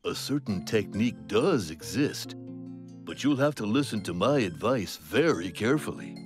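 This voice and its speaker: Guy doing a wise old cartoon mule, deep slow voice, polite and careful, music playing behind. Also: lively intonation, lightly acted. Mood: calm, helpful. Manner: measured, polite, cautioning. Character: wise, patient, weary old male mule. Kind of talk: children's cartoon acting.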